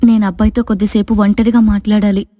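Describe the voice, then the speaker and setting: A woman's voice: mid-range voice, flat delivery, quick pace, warm tone, no echo, studio quality, lightly acted. A woman playing a reserved young wife with a secret, earnest and explanatory, in a story. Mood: firm.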